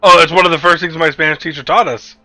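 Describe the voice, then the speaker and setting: Bright-voiced man bantering over a game. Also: on the high side, steady tone, fast, no echo, faint music behind. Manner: crude joking, swears freely. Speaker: tabletop game player. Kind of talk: casual banter during an online tabletop game.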